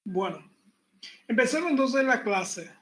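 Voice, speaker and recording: A man teaching an online university class, relaxed and chatty, repeating his points and joking with the students. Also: very high-pitched, measured pace. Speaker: university pathology lecturer. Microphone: computer mic on a video call.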